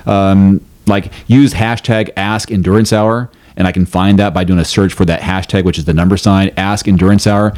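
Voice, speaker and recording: A man co-hosting a podcast in relaxed, chatty conversation with frequent restarts. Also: deep voice, normal speed, few pauses. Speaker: podcast co-host. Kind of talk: casual chat.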